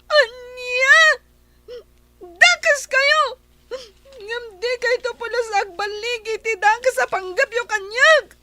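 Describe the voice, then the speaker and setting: A woman's voice: very high-pitched, slow, sharp tone, full character voice. A woman voicing a frightened kidnapped young girl who pleads tearfully in a radio drama.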